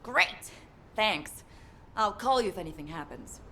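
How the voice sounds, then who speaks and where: A woman's voice: on the low side, lively intonation, measured pace, sharp tone, slight background noise. A woman playing an eager, friendly rookie recruit in a video game. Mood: eager, bright.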